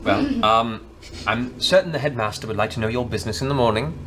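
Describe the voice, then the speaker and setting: A man's voice: mid-range voice, animated, brisk, close to an everyday voice, low-quality recording. A man voicing a flustered, officious night gatekeeper in a tabletop fantasy game, speaking hesitantly and formally.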